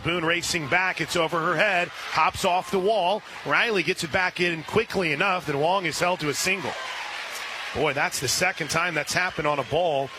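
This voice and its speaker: A male radio announcer calls softball play-by-play in a fairly high-pitched voice. He works as a live commentator, following the game pitch by pitch. Clear outdoor ballpark noise runs behind him.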